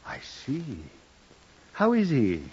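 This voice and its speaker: A courteous but evasive doctor with a crime to hide. His husky voice swings widely and theatrically, with formal phrasing, on a muffled old radio drama recording. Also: a little high, huge pitch swings, slow, a little mellow, exaggerated voice. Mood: politely evasive. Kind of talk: 1950s radio drama acting.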